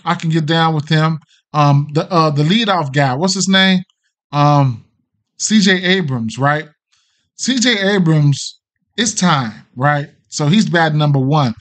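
Middle-aged american guy, kinda higher voice, rambling sports betting stream, cusses a lot, super casual. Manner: slangy, profane, hesitant and rambling. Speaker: sports betting show host. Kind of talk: casual off-the-cuff livestream betting picks.

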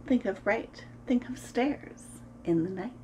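A woman in her thirties reading a picture book aloud to preschoolers in an expressive, sing-song way, as host of a story time. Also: mid-range voice, a little slow, slight background noise. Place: small room at home, indoors.

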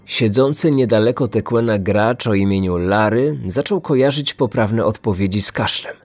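Male true-crime podcast narrator, low voice, close dry recording over music. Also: normal speed, darkish tone, no room sound. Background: background music.

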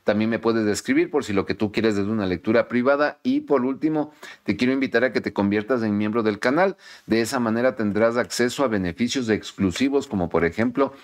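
A man's voice: medium pitch, fairly quick. A middle-aged man giving a tarot reading to camera in a home study, speaking in an emphatic, repetitive, encouraging pep-talk manner. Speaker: tarot reader. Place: home study with bookshelves, indoors.

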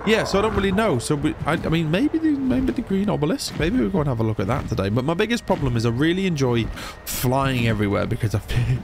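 A man's voice: fairly high pitch, quick pace, gravelly, noisy background. Chatty man with a cold. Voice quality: a bit nasal. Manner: chatty, excitable, rambling asides. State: has a cold, blocked nose. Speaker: gaming YouTuber. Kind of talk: casual let's-play commentary.

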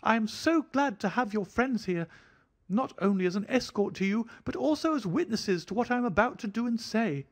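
A man voicing a jittery, fussy little man of about thirty, an anxious hypochondriac with a thin, high voice. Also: expressive, moderate pace. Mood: fretful. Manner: fidgety, effusive, fretful.

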